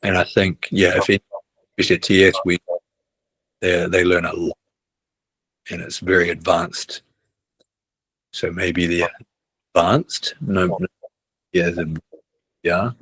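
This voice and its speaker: A man's voice: low voice, little pitch movement, unhurried, long pauses, a bit bright, slightly rough, no echo, rough recording. A middle-aged man, a basketball coach chatting casually in an interview, rambling with many restarts and tag questions. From New Zealand. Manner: rambling, restarts often, ends with "right?".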